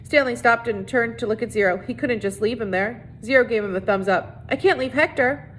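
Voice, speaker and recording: A woman's voice: fairly even intonation, a little fast. A woman reading a children's novel aloud as narrator. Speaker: reader. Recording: noisy recording with low rumble.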